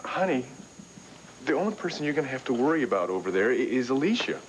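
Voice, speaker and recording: A man's voice: medium pitch, fast, slight background noise, lightly acted, rough recording. An actor playing a cheerful young husband who keeps reassuring his wife. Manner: cheerful, encouraging, lively. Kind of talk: daytime soap acting.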